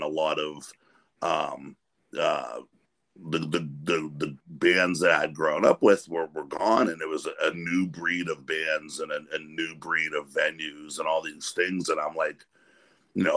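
Middle-aged American male podcast host, extremely low pitch, forceful bright tone, thoughtful conversational delivery. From Pennsylvania, United States. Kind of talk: casual conversational interview.

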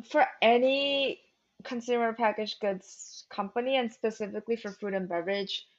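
A woman's voice: medium pitch, muffled recording. A woman who grew up in the United States with Korean as her first language, a company founder answering interview questions in a chatty way, with many "like" fillers and easy laughter.

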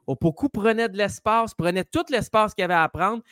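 Quebec french guy guesting on a podcast, bit higher voice, thinking out loud, dry sound. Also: brisk, dry recording. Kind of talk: casual sports talk.

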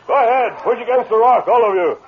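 A man's voice: very high-pitched, fairly quick. An actor playing a commanding, self-assured masked lawman in an old radio western, speaking in declamatory style. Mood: triumphant, commanding. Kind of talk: 1940s-50s radio western acting. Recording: muffled, narrow-band old radio broadcast, background noise.

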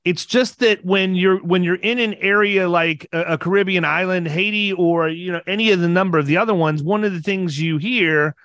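Casting: middle-aged man, podcast host, higher-pitched voice, casual conversational delivery, close dry sound. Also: moderate pace, few pauses, a bit bright, dry recording.

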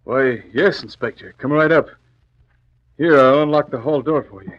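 An actor playing an edgy, slangy crook in a 1940s radio crime drama. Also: male voice, medium pitch, moderate pace, lightly acted. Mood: nervous politeness. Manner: slangy gangster talk, edgy. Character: jittery, slangy male crook posing as an engineer. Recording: muffled vintage radio recording.

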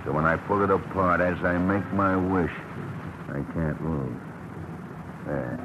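An actor playing a menacing, smug fat villain in a classic radio crime drama, sneering and deliberate. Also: male voice, sits low. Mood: smug threat. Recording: noisy, muffled vintage radio recording.

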